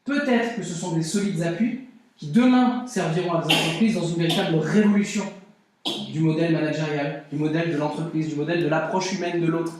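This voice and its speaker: A man's voice: on the high side, a little fast, darkish tone. A man giving a motivational conference talk to a live audience, earnest and emphatic, repeating key phrases. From France and Brazil. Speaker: conference speaker. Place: conference hall. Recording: very echoey hall.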